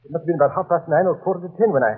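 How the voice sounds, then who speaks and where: An actor playing an earnest, shaken young doctor, speaking hesitantly and anxiously in the style of 1930s radio drama. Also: male voice, on the high side, very fast, husky. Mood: shaky, explaining. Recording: crackly narrow-band 1930s radio recording.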